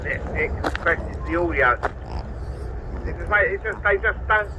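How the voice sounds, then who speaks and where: A man's voice: sits high, normal speed. A British man talking casually over CB radio, explaining technical points in an informal, matey way. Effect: CB radio transmission. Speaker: CB radio operator. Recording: dull CB radio audio, faint noise.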